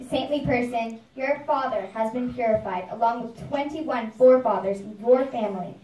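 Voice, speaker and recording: A woman's voice: a little high, darkish tone, lightly acted. A woman voicing a benevolent half-lion god in a puppet show, speaking solemnly and formally. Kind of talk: devotional puppet show dialogue. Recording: echoey room, distant microphone.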